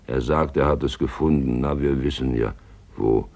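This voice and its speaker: Radio play draper: rock-bottom gravelly bass, intimate, lovelorn, dryly complaining. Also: male voice, normal speed, a little mellow, full character voice. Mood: dry, grumbling. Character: lovelorn, grumbling male small-town draper. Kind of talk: radio play monologue, reading a love letter.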